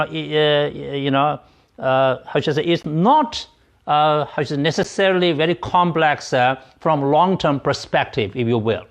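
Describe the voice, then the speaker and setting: A man interpreting Buddhist teachings, explaining them haltingly as he searches for words and often rephrases. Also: a little high. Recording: dull, lo-fi audio.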